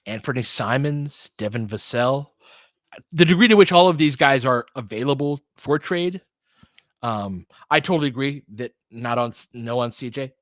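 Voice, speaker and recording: A man's voice: medium pitch, lively intonation, normal speed, no echo, clean studio sound. A man doing informal basketball play-by-play as a host, chatting conversationally and thinking aloud. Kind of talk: casual basketball commentary mixed with chat replies.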